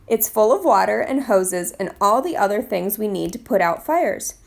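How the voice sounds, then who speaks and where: A woman's voice: mid-range voice, animated, moderate pace, gravelly. A woman voicing a friendly, proud male fire captain in a children's storybook, welcoming and explaining things.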